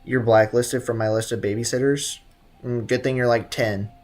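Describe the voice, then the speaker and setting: A man's voice: medium pitch, steady tone, moderate pace, darkish tone. Male livestream host, casual gaming commentary, blunt teasing banter, music in the background. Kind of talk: casual game streaming commentary, reading chat.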